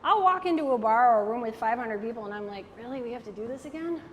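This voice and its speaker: A woman's voice: fairly high pitch, fast talker. A woman giving a casual, joking talk on networking to a live audience and taking their questions. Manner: candid, joking, animated, frequent "like". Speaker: networking speaker. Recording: hall reverb, faint background noise.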